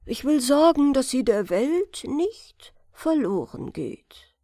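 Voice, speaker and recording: A woman voicing a kindly count who speaks slowly and solemnly to a boy. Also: high voice, sing-song, warm tone, no echo, studio quality, voice pushed up, full character voice. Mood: solemn, moved. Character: moved old male count, kindly, solemn.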